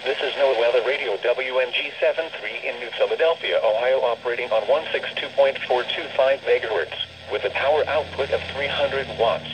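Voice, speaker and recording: A man's voice: mid-range voice, brisk, hardly any pauses. A synthetic, stilted man's voice reading a formal scripted weather forecast through a radio speaker. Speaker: automated weather radio announcer. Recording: noisy weather radio reception, re-recorded.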